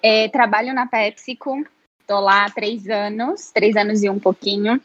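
A woman's voice: medium pitch, fairly even intonation, a little slow. A woman from Minas Gerais with a Mineiro accent, a guest on a podcast, friendly and a little nervous, with many fillers. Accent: Mineiro Brazilian Portuguese. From Minas Gerais, Brazil. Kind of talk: casual interview. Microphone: home office setup. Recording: dull, dry lo-fi audio.

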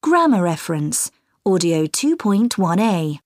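A woman announcing audio track titles in a crisp, formal manner. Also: lowish voice, sing-song, unhurried, a little mellow, dry recording, studio quality. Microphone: dry studio mic.

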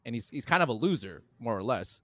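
A man's voice: mid-range voice, very animated, fast talker, a bit bright. A man co-hosting a casual film discussion, speaking bluntly and informally with some swearing. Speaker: podcast co-host.

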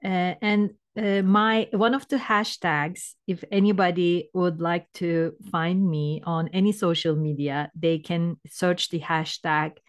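Middle-aged turkish lady, lower voice, lots of uh and um while telling her story. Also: fairly even intonation, slow pace, hardly any pauses. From Turkey. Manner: hesitant, many fillers and restarts. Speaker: guest coach. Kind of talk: personal story in interview.

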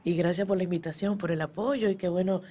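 A woman's voice: on the low side, steady tone, quick pace, warm tone. A middle-aged woman with a Dominican accent, speaking as an interview guest and telling her story casually. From born in the Dominican Republic, emigrated to the US at ten. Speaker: guest, poet and actress.

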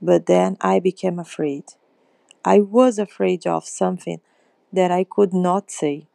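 A woman reads a story aloud at a very slow pace. Her voice is heavily creaky and mellow, recorded close and dry. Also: on the low side, steady tone, no echo. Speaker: participant reading aloud. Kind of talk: reading first-person story aloud.

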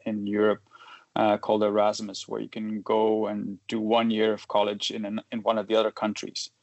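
Man, 40s, from Belgium, interview guest, low gravelly voice, dry close sound, halting with restarts. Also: a little mellow, no echo. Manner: halting, many restarts and fillers, chuckles while talking. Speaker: guest, photojournalist. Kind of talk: casual interview conversation.

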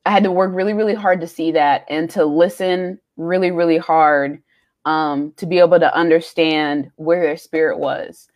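Reflective young woman, lowish voice. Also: fairly even intonation, normal speed, a little mellow. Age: young adult. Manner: reflective, candid storytelling with frequent ums. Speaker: podcast co-host. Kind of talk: casual chat.

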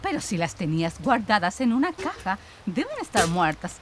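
An actress playing a concerned sister in a radio drama dialogue. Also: female voice, mid-range voice, big pitch swings, moderate pace, a little hiss or hum, close to an everyday voice. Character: concerned sister back from exile in Germany.

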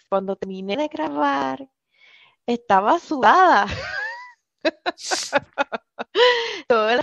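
Puerto Rican woman guest, slow and halting speech, dry and dull-sounding line. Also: medium pitch, fairly bright tone, dry recording. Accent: Puerto Rican Spanish. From Puerto Rico. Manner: hesitant, many filler sounds. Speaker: podcast guest. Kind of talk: casual interview chat.

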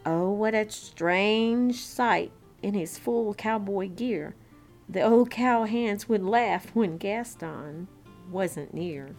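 A woman reads a picture-book story aloud at a slow pace. Her delivery is expressive and amused, slipping into chatty asides to young listeners. A classical violin track plays faintly behind her medium-pitched voice. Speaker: children's story-time reader. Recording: classical violin music bed. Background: classical violin concerto background track.

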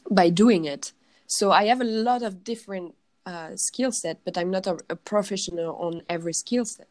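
A woman with a French accent, a guest in a casual interview, often pausing and searching for words. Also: on the low side. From France.

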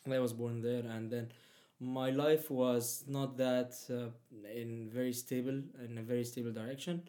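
A young man whose first language is Arabic, speaking English in an easy conversational way. He stops and restarts often and pads his sentences with fillers. His pitch sits at an ordinary middle level. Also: flat delivery, moderate pace, dark tone. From Palestinian, raised in Egypt. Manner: hesitant, many fillers and restarts. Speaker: guest. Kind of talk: casual conversation.

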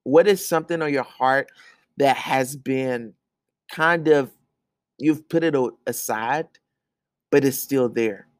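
A man's voice: mid-range voice, slow, pauses often, no echo, clean studio sound. A man hosting a podcast, giving an earnest, sermon-like talk of encouragement from his bedroom, repeating phrases for emphasis. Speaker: podcast host.

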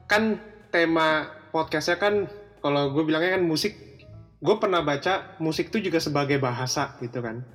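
A man's voice: a little high, moderate pace, a bit bright. A man with a Jakarta Indonesian accent hosting a casual podcast chat, informal and often repeating short affirmations. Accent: Indonesian, Jakarta colloquial. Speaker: podcast host. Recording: echoey hall sound, music behind.

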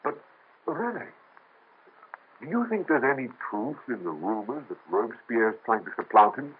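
An actor playing a cautious friend who urges care and warns of danger, in an old radio drama. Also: male voice, medium pitch, very animated, normal speed, a little hiss or hum, close to an everyday voice. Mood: earnest. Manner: urgent, warning. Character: Camille, cautious male friend and colleague. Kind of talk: 1930s radio acting. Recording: tinny lo-fi old radio recording.

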